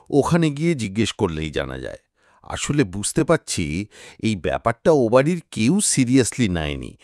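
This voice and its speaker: Male detective, kindly and thoughtful, musing through clues, audio-story dialogue, acted by a male narrator. Animated pitch, dry sound. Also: no echo.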